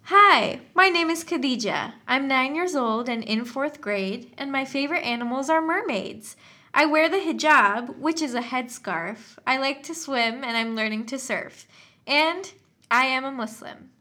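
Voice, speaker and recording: Woman as a high, bright nine-year-old girl. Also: expressive, a little slow, full character voice. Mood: cheerful, proud.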